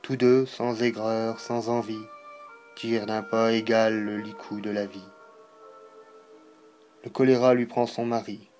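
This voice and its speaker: A man giving a solemn, dramatic poetry recitation, declaiming in measured phrases with pauses. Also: fairly low pitch, little pitch movement, a little mellow, faint music behind.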